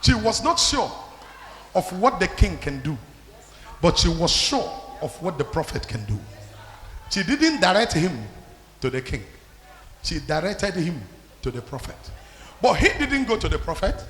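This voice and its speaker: A man preaching a loud sermon, shouting and commanding the congregation. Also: high voice, big pitch swings, unhurried, long pauses, roomy sound, big-room sound.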